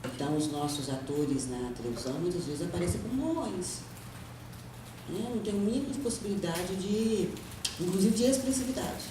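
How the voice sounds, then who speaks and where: A woman's voice: on the low side, lively intonation, a little fast, background noise. A woman moderating a panel talk, asking long questions with hesitant repeats and frequent fillers. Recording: echoey room, distant mic.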